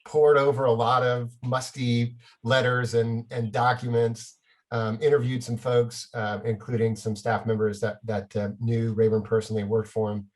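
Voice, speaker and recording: Man, middle-aged, medium pitch, casual anecdotal style, animated, self-deprecating, chuckly. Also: moderate pace. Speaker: playwright.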